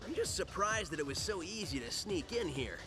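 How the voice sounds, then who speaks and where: Wisecracking young American hedgehog hero, animated high voice, game cutscene with prominent music. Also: male voice, brisk. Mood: amused, breezy. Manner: breezy, flippant, slangy.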